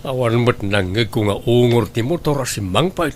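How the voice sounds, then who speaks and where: A man's voice: mid-range voice, expressive, moderate pace, darkish tone, a little hiss or hum, close to an everyday voice. A man playing a stern, blunt Filipino family man in an Ilocano radio drama, speaking in a scolding, questioning way. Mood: dismissive.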